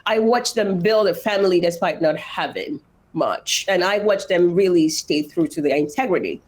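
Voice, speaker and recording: A woman's voice: fairly low pitch, normal speed, darkish tone. A woman in her thirties from Nigeria with a Nigerian accent, telling her life story earnestly as an interview guest. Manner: earnest, emphatic, repeats phrases for weight.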